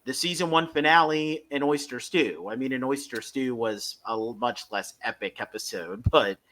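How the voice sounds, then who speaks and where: A man hosting a casual fan discussion, speaking in halting stretches with emphatic bursts. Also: medium pitch, sharp tone. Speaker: podcast host reviewing a fan audio drama.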